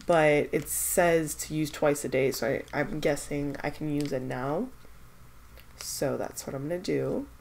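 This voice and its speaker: A woman's voice: low voice, a little slow, vocal fry, darkish tone, slight background noise. A young woman vlogging about skincare samples, stuffed up from a cold, chatty and hesitant with lots of fillers as she thinks aloud. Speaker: beauty vlogger. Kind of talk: casual product-testing vlog.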